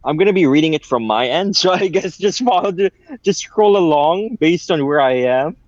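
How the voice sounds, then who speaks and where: A man's voice: fairly high pitch, lively intonation, moderate pace, no room sound. A man chatting casually in a group voice call on his phone, rambling a little, apologizing and repeating himself. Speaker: reader in a group fan fiction readalong. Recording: dull, lo-fi phone mic.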